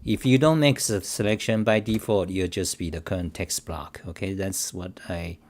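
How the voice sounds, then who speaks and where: A man's voice: low-pitched, normal speed. A man hosting his own livestream, talking off the cuff about code, reading chat aloud and answering viewers, with many restarts and emphatic repeats. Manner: rambling, repetitive restarts, defensive and emphatic; reads chat aloud, addresses viewers directly. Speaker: live-stream talk show host. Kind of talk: unscripted coding livestream rant.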